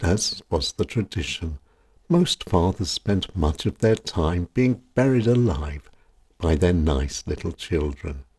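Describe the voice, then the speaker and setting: A man reads a nostalgic scripted story in a measured, deliberate way with dramatic pauses. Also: low-pitched, darkish tone, slightly rough. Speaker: storyteller reading own written piece.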